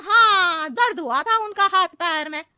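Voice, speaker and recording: A woman's voice: very high-pitched, expressive, a little slow, sharp tone, dry recording, studio quality, full character voice. A woman voicing a scatterbrained housemaid who talks in rambling circles and riddles in a comedy cartoon. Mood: coy, roundabout. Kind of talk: exaggerated Hindi cartoon comedy acting.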